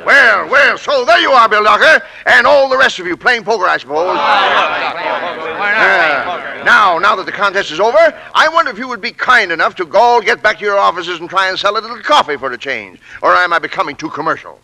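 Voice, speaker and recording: An actor playing an irascible, pompous company boss who sputters and blusters in 1940s radio comedy style. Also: male voice, high-pitched, animated, brisk, bright, forceful tone, full character voice, poor audio. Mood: sarcastic, scolding. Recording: vintage 1940s radio broadcast.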